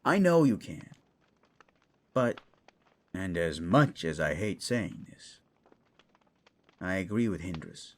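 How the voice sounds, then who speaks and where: A man's voice: low voice, sing-song, takes it slowly, pauses often, exaggerated voice. A man playing a wisecracking, fussy but caring raccoon mentor in a fantasy audio roleplay, casual and teasing. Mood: earnest warning.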